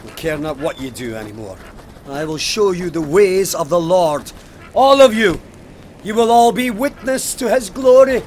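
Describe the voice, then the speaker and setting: An actor in an audio drama playing a stubborn, haunted police sergeant, intense, righteous and suspicious. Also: male voice, high voice, animated, normal speed, a little mellow, slight background noise. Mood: righteous, fervent.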